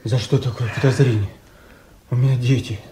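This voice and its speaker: A man's voice: mid-range voice, steady tone, fairly quick, mellow, slightly rough. An actor playing a frightened male subordinate who reports nervously to his superior in a stage play. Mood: pleading. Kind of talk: 1970s stage play audio recording.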